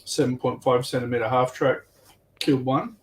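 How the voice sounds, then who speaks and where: Mid pitched guy chatting casually, some background noise on his mic. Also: fairly even intonation, normal speed. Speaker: guest player on a livestream. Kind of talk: casual chat.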